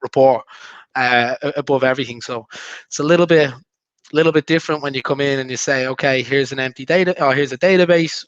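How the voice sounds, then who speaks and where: A man with an Irish accent, a recruiter guest on a chat show, easygoing and relaxed. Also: mid-range voice, fast talker, dry recording, dull audio. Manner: easygoing, relaxed, hesitant fillers. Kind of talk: casual interview talk.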